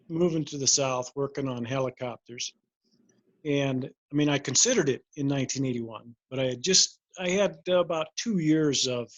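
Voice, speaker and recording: An older American man giving an informal career talk, explaining and recounting in a measured, reflective way. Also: medium pitch, no room sound, dull audio. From Montana, United States. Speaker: air traffic controller, career fair guest speaker.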